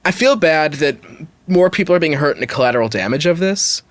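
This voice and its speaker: A man's voice: on the high side, lively intonation, fairly quick, no room sound. A man co-hosting a podcast, talking casually and emphatically and giving his opinions as he goes. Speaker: podcast co-host.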